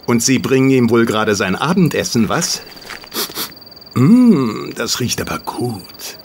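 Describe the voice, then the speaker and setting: A smooth-talking racing spy in a radio play. His voice is middling in pitch and he speaks with glib, coaxing courtesy. Faint night ambience sits behind him. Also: very animated, a little slow, slight background noise. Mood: affable. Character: smooth, insinuating male stranger, a sly racing spy. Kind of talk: German radio play acting.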